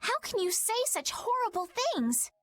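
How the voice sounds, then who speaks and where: A woman's voice: extremely high pitch, big pitch swings, moderate pace. A woman voicing a plucky young treasure-hunting girl in an anime video game dub. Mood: hurt. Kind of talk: English-dubbed anime video game acting.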